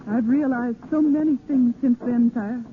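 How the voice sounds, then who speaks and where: A woman's voice: on the high side, moderate pace, natural voice. A woman playing a proud, passionate young noblewoman in a 1930s radio drama, formal and melodramatic, on a noisy, tinny old recording. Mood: anguished, restrained.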